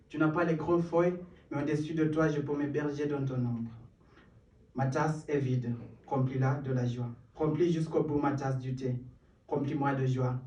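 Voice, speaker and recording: A young man performs spoken-word verse in French, learned on top of his English, rising into rhythmic, building lines between self-deprecating asides. His voice sits at an ordinary middle pitch. The stage mic catches him from a distance, and a roomy live echo hangs around every phrase. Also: dark tone, muffled recording. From Kenya. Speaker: performance poet. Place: small stage in a cellar venue.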